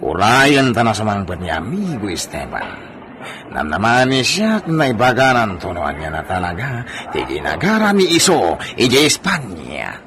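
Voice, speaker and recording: Man playing a very deep, animated landed gentleman. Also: normal speed. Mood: knowing, amused. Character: wealthy landed gentleman, familiar and commanding with servants. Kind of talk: Ilocano radio drama acting. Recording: noisy track with background ambience.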